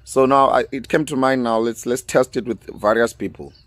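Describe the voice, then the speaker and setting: A man in his thirties from South Africa with a Venda accent, whose first language is Tshivenda, hosting a casual podcast outdoors on a close studio mic, laughing often and trailing off quietly. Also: mid-range voice, fairly even intonation, normal speed, dry recording. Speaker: podcast host. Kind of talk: casual solo podcast chat with phone call. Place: outdoors in a sunny garden. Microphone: large studio mic close up.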